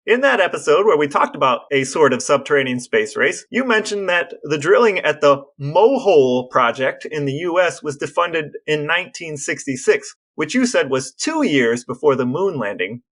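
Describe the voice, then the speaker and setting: A man's voice: a little high, big pitch swings, normal speed, few pauses, a bit bright. A man co-hosting a podcast, explaining things precisely and playfully in conversational banter. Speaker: podcast co-host.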